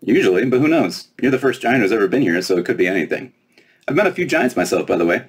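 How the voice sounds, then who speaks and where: A man's voice: mid-range voice, sing-song, fast talker, slightly rough, voice pushed up. A man voicing a smug, self-important young fairy princess with a flippant manner in a storybook reading. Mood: self-satisfied.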